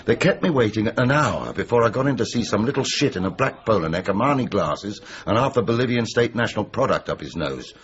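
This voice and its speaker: Actor as a jaded, sardonic ageing writer, lively intonation. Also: male voice, on the low side, brisk, few pauses, highs cut off, lightly acted. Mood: bitter, resigned. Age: middle-aged. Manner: sardonic, teasing, world-weary. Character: jaded, sardonic ageing male comedy writer, world-weary. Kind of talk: naturalistic radio comedy-drama acting.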